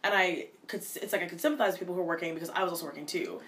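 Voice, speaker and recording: A young woman from Minnesota talks quickly in a somewhat low voice, piling up restarts and filler likes as she answers an interviewer. A faint hum sits behind her in a quiet room. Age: 20s. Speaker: college student interviewee.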